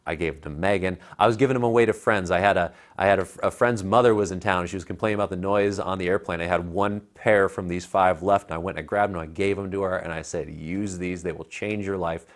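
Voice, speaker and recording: Low-pitched, emphatic American man. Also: fast talker, hardly any pauses. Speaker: tech reviewer, show co-host. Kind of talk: casual tech review chat. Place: living room at home. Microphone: clip-on lapel mic.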